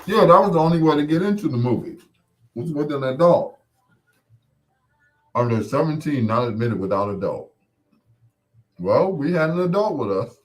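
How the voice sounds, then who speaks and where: A man's voice: fairly high pitch, very animated, normal speed, long pauses. An American man in his sixties hosting a casual live chat, reminiscing in a rambling, conversational way and repeating points for emphasis. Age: 60s. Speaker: host.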